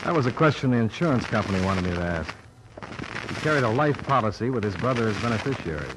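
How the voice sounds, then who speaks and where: An American actor playing a skeptical, terse insurance investigator in an old-time radio detective drama, on a noisy vintage recording. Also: male voice, fairly low pitch, expressive, quick pace, lightly acted. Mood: dry, blunt. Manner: terse, dry, blunt questioning. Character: hard-boiled, skeptical male insurance investigator. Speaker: private insurance investigator, lead character. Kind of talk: 1940s-50s American radio detective acting.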